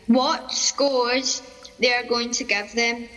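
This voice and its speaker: Bright, high-voiced show host, reverberant. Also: female voice, fairly even intonation, slow pace, faint music behind. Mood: chirpy, presenting. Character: polite, chirpy narrator-host of a cooking contest spoof. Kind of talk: radio comedy play acting.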